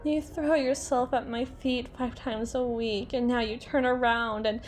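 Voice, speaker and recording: Woman acting a high-pitched, tipsy, domineering lady boss. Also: fairly even intonation, a little slow, close to an everyday voice. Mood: teasing, smug. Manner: tipsy rambling, stumbling over and repeating words. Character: drunken, teasing, domineering female boss at a bar. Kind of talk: ASMR roleplay acting.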